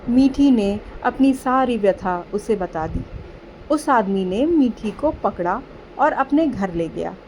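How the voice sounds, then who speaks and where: A woman's voice: medium pitch, expressive, slow, a little mellow, slight background noise, poor audio. A woman giving scripted narration of a children's story.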